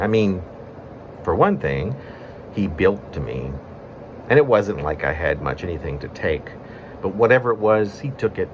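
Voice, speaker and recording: A man with a fairly low voice reads a poem as if telling a story. His pitch moves in wide, lively swings across short phrases broken by pauses. Some background room noise sits under the recording. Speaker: poet reading own poem. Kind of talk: spoken-word poetry reading, conversational storytelling.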